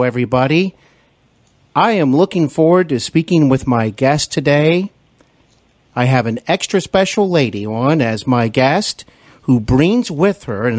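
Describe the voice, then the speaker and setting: A man hosting a podcast interview, opening with formal, welcoming introductions. His voice sits a little high. The recording is dry and close, with no room sound. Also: darkish tone, no echo. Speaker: podcast host.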